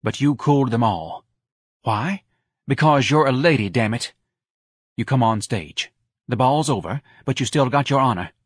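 Man as a bossy, husky woman bar owner. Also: medium pitch, animated, normal speed, no echo, studio quality, pitched above the performer's own voice. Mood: coaxing, bossy, then directing. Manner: curt, browbeating.

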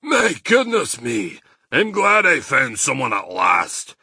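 A man voicing an excitable, despairing badger father in a children's storybook, blustering and emphatic. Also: medium pitch, slow, slightly rough, no room sound, clean studio sound, pitched above the performer's own voice, heavily acted. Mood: relieved, weary. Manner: blustering, agitated, emphatic delivery.

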